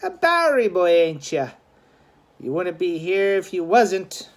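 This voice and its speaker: A man voicing a rough, sneering young street gang fighter with scornful, slangy speech in a storybook. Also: high-pitched, very animated, measured pace, pitched above the performer's own voice, heavily acted.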